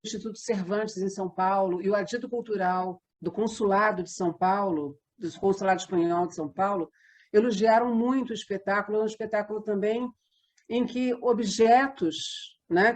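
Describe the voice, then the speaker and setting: A woman's voice: mid-range voice, steady tone, moderate pace. A Brazilian woman, a theatre company member, explaining her work in a conversational interview.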